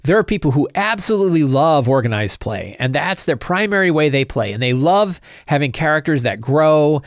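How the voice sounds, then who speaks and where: A man's voice: on the high side, sing-song, moderate pace, no echo, studio quality. An older man hosting a tabletop RPG talk show, chatting casually on his own and rambling with frequent restarts. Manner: rambling, repeats words and restarts often. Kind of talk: casual solo commentary.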